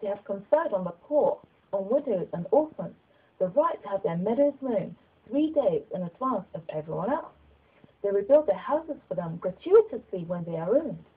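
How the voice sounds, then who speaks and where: Woman reading as a kindly, husky-voiced humble bishop. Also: mid-range voice, very animated, moderate pace, phone-quality sound. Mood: earnest, exhorting. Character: kindly, humble elderly male bishop, gently persuasive. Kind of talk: audiobook character dialogue.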